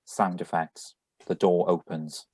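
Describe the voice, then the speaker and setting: Husky man reading stage directions. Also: sits low, little pitch movement, takes it slowly, a little mellow. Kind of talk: flat scripted cue reading.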